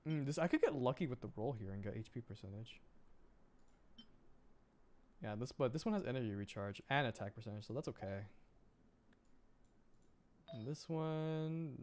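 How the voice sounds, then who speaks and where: A man with a medium-pitched voice streams a video game in a casual, conversational way. He mutters and thinks out loud to himself. There is some noticeable noise behind his voice. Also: animated, normal speed, pauses often. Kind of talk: casual livestream gameplay chat.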